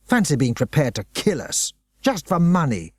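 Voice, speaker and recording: A man's voice: fairly high pitch, sing-song, normal speed, a little mellow, no room sound, studio quality. A man doing a blunt, stubborn schoolboy. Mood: accusing.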